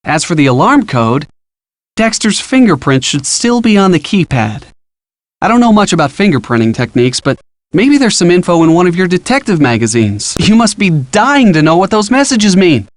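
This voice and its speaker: An eager young male sidekick from a detective video game. His voice sits fairly high, and he gives earnest, encouraging hints, clearly scripted, in a dry, close recording. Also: sing-song, normal speed, no echo. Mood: helpful, coaching. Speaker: hint-giving game character.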